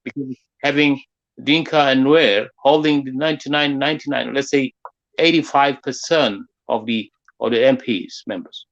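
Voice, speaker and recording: A man's voice: mid-range voice, normal speed, highs cut off. A man from South Sudan with a South Sudanese accent, hosting an unscripted political discussion in a discursive way, often saying "you know". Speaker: interviewer, forum host.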